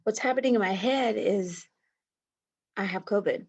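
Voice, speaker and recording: A middle-aged woman speaking softly as a guest in a casual interview over a video call. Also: medium pitch, moderate pace, breathy edge. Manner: soft-spoken here, though loud by nature. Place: small room indoors. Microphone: webcam on a video call.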